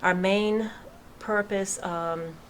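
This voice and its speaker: A woman's voice: mid-range voice, fairly even intonation, drawn-out words, a little hiss or hum. A woman in her sixties with a Boston accent, talking as a guest in a studio interview in a friendly, plain-spoken way. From Massachusetts, USA. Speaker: guest, charity founder. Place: local radio studio.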